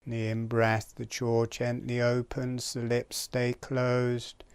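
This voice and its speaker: A man giving guided breathing and relaxation instruction in a calm, gentle manner, in short spaced phrases. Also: mid-range voice, slow pace, smooth, no room sound.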